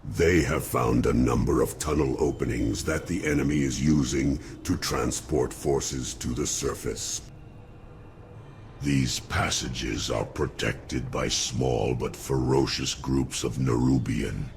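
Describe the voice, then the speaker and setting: A man voicing a sinister undead insect lord who dotes on his locust swarm in a slow, menacing, gravelly croak, in fantasy game cutscene style. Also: extremely low pitch, steady tone, a little hiss or hum, heavily acted. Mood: eager, creepy.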